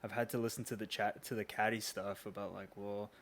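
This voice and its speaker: A man's voice: fairly low pitch, level tone, fairly quick, fairly bright tone. An American man chatting casually as a co-host, loose and informal, with many filler words. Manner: informal, hesitant phrasing peppered with "like". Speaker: podcast co-host. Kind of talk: casual chat.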